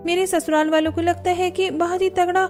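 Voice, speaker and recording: Woman voicing a high-pitched, grumbling young daughter-in-law. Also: level tone, a little fast, darkish tone, soft background music. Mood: complaining. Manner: plaintive, complaining. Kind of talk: Hindi cartoon story dialogue.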